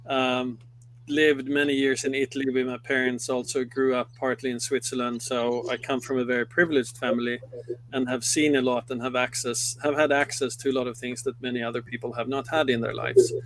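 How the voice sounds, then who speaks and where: A middle-aged man from Sweden with a Swedish accent, a company head recounting his life in a live interview, halting and full of fillers and restarts. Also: medium pitch, level tone, fairly bright tone, a little hiss or hum, low-quality recording. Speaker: guest, company CEO. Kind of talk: live interview, personal story.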